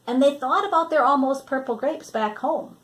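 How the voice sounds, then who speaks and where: A woman's voice: high voice, normal speed, fairly bright tone. An older woman reading a children's storybook aloud, expressively, drawing out words for emphasis. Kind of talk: read-aloud storybook narration.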